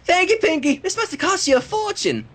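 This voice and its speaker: A reader voicing an excitable little country filly from a cartoon, in a folksy, exclaiming manner. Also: very high voice, brisk, voice pushed up, full character voice. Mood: surprised, grateful.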